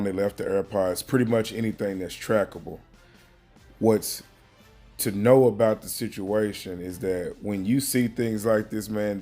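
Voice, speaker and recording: Guy w a low voice doing serious off the cuff news commentary, really stressing his points, bit of music under it. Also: warm tone. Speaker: commentary host. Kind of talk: earnest informal news commentary.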